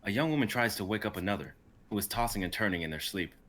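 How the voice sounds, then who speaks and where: A young man reads stage directions at a casual live table reading. His voice is fairly low yet bright and forceful, narrating a script. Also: lively intonation, fast. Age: young adult.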